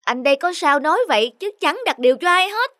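A man's voice: very high voice, lively intonation, quick pace, noisy background. A man voicing a smug, boastful shop owner in a cartoon drama, condescending and mocking.

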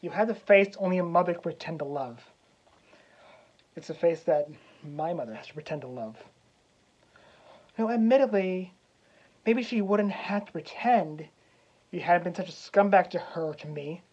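A man playing a vengeful young prince as a cocky wrestler cutting a theatrical promo, swaggering and taunting with dramatic pauses. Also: high-pitched, a little mellow, highs cut off. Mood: taunting, boastful. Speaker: actor.

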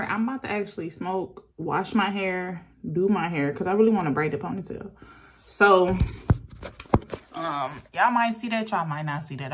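A woman in her thirties chatting casually to camera in a vlog from a small bedroom, relaxed and talkative. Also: lowish voice. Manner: relaxed, chatty, uses lots of "y'all". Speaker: vlogger.

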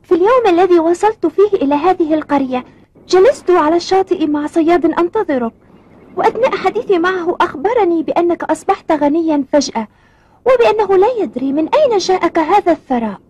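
A woman voicing a curious, polite young boy in a cartoon, speaking formal Arabic earnestly and clearly. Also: very high voice, lively intonation, fast, a little mellow, highs cut off.

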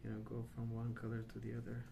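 A man speaking softly and hushed in an unhurried, halting ASMR ramble, often trailing off. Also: mid-range voice, monotone. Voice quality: soft, hushed. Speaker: ASMR video host. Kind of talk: soft-spoken ASMR rambling. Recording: clear background noise.

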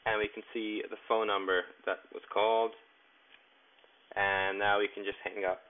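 A man narrating a step-by-step tech tutorial in a plain, instructional way with halting phrasing. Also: on the low side, little pitch movement. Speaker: tutorial presenter. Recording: tinny, narrow phone-like sound.

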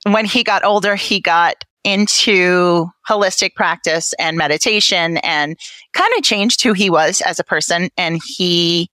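Woman, Brooklyn accent, slightly low pitch, dry close sound, candid interview guest. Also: unhurried, bright, forceful tone, dry recording. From Brooklyn, New York, United States. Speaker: interview guest, patient family advocate. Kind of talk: personal conversational interview.

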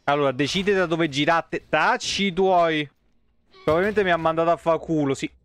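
A man's voice: fairly high pitch, normal speed, a bit bright. A man, giving blunt, wry, sweary live commentary as he streams a video game. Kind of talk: casual live gameplay commentary.

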